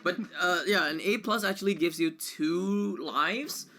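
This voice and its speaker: A man's voice: sits high, measured pace, creaky. A man giving casual live commentary on a video game as he streams it. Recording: game music under the voice. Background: loud game music.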